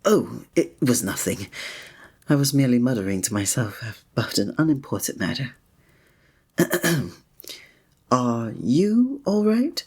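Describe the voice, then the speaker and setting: Man playing a soft, breathy, gallant fantasy innkeeper. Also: medium pitch, exaggerated voice. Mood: tender, slightly flustered. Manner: formal, old-fashioned, gallant, gentle phrasing. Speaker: ASMR roleplay performer. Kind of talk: scripted romantic ASMR roleplay.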